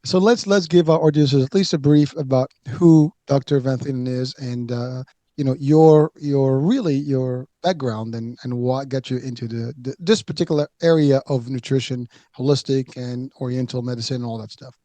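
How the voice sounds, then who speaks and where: A middle-aged man hosts a health talk show in a casual, rambling way, often circling back and restarting his phrases. His voice is mellow and dark. The sound is dry and close. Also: mid-range voice, moderate pace, no echo. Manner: loose and rambling, frequent restarts and fillers. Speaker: host. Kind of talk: casual radio show hosting.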